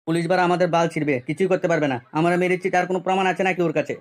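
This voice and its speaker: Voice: medium pitch, fairly even intonation, racing, close to an everyday voice. A man voicing a brash, foul-mouthed young troublemaker in a comic cartoon, cocky and coarse. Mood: cocky, threatening.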